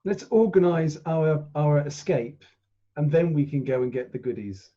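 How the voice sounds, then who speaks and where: A man's voice: fairly high pitch, lively intonation, normal speed. A man chatting casually as a player in a tabletop game, recorded through a muffled microphone. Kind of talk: casual group discussion.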